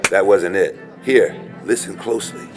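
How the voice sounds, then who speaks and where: A man's voice: deep voice, moderate pace, over loud music, lightly acted. An actor playing an eccentric, dry, conspiracy-minded homeless man in an audio drama. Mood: dry, instructive.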